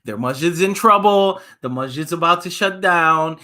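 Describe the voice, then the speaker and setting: A man, an imam, hosting a children's story time, reading and chatting in an animated, conversational way with questions for listeners. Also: fairly high pitch, moderate pace.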